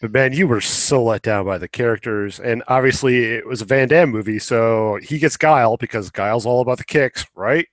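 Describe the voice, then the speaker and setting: A man's voice: medium pitch, moderate pace, few pauses, no echo. A man co-hosting a podcast, giving casual commentary in an informal, blunt and opinionated way. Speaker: podcast co-host.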